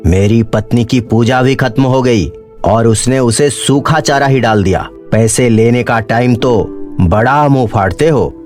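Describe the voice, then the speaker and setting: A man's voice: mid-range voice, a little slow, darkish tone, soft background music, natural voice. A man voicing a heartless, bossy rich master with a commanding manner in a cartoon story. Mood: angry scolding. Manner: commanding, scolding.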